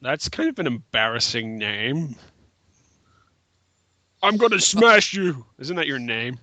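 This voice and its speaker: A man's voice: mid-range voice, takes it slowly, no room sound. A man bantering casually as a co-commentator in an amateur reading, with a mocking, joking manner. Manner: mocking, jokey, animated.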